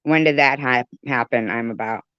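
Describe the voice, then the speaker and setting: A woman's voice: rumbling low, moderate pace, fairly bright tone, no room sound, muffled recording. A woman giving an informal live-stream rant in an irritated, emphatic way, repeating phrases to drive her point home.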